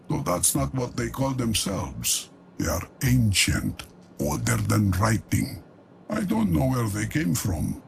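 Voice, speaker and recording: An actor playing an ailing, cunning old deposed patriarch in a game cutscene, grave and measured, with a gravelly voice. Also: male voice, on the low side, a little mellow, slight background noise, heavily acted. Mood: solemn, ominous. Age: older. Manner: grave, measured, deliberate pauses. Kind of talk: dramatic game cutscene monologue.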